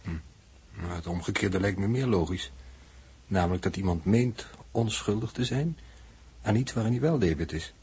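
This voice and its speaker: Low, husky, pedantic man. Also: animated, mellow. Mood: judging. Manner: formal, deliberate, pedantic. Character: sceptical, pedantic male observer passing judgement. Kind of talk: 1960s radio drama acting.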